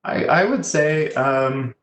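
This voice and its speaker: A man giving informal career advice as a guest on a video call, speaking haltingly and often trailing off. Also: on the high side, animated, fairly bright tone. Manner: hesitant, halting delivery with trailing phrases. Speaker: guest, landscape designer and choral musician. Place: indoor room on a video call. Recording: echoey room, faint background noise.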